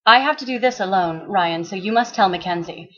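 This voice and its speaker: A woman's voice: medium pitch, a little fast, fairly bright tone, muffled recording, natural voice. A woman voicing an earnest, urgent male detective in a story reading, insistent and pleading. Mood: firm, urgent. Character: earnest, urgent male private detective in old New York.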